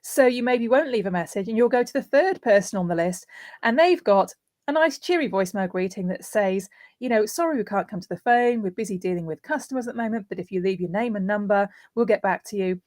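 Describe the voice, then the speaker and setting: A woman's voice: mid-range voice, lively intonation, a little fast, darkish tone, no echo, studio quality. A woman speaking as a guest voiceover artist, giving practical tips conversationally and illustrating points with everyday examples.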